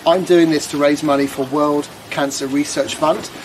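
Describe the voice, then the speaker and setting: Higher-pitched man over background noise. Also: fairly even intonation, moderate pace, poor audio. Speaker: charity fundraiser. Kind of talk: personal appeal to camera. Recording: noticeable background ambient noise.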